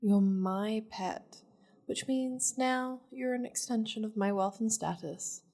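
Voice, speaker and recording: A woman's voice: mid-range voice, takes it slowly, a little mellow. A woman voicing a possessive, wealthy demon lady in an intimate ASMR roleplay, flirtatious, teasing and commanding with haughty endearments. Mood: possessive, silky.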